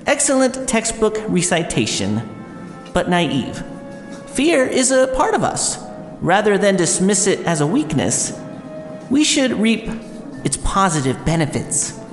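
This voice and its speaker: A man acting as an arrogant audio drama professor, his delivery swooping and theatrical. He lectures with deliberate emphasis and an intense fixation on fear. The voice rings in a big reverberant hall, with faint music underneath. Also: high-pitched, huge pitch swings, heavily acted. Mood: smug, menacing.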